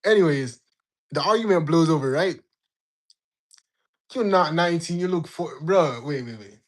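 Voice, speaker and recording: A young man in his late teens from Jamaica, telling a story in a slangy, animated way while bantering with his livestream chat. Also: on the high side, normal speed. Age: young adult. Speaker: livestream host. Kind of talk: casual storytelling to live chat.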